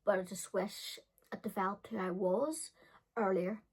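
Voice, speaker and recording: A woman's voice: mid-range voice, steady tone, measured pace, darkish tone, breathy edge. Rambling woman in her thirties telling stories. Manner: rambling, filler-heavy, self-correcting. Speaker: beauty and storytime vlogger. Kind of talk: casual rambling personal storytelling to viewers.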